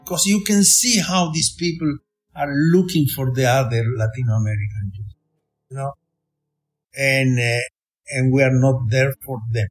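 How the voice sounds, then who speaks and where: A man's voice: mid-range voice, moderate pace, husky, faint music behind. An older man from Chile with a Chilean Spanish accent and Spanish as his first language, speaking emphatically in an oral history interview.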